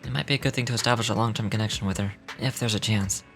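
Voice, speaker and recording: A man's voice: lowish voice, steady tone, rapid-fire, a bit bright. A man reading game dialogue aloud in character, with small stumbles as he reads. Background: music.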